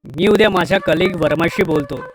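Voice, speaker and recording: Woman voicing a calm, lower-pitched cartoon dad. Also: a little fast, lightly acted. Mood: reassuring, thoughtful. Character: calm, reassuring father in a children's cartoon. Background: music.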